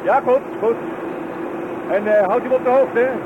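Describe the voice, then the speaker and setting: An actor playing a gruff, harried, impatient workshop boss in a radio play, brusque and unsympathetic, on a tinny, noisy recording. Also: male voice, extremely high pitch, moderate pace. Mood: irritable, complaining. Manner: brusque, irritable. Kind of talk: Dutch radio play acting. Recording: tinny, band-limited sound with loud noise.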